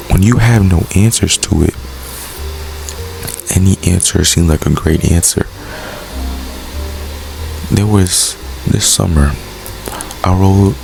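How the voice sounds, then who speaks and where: Deep gravelly young man, earnest. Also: poor audio. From United States. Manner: earnest, candid, repeats phrases for emphasis. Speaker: vlogger and poet. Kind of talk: reflective personal monologue. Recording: background music.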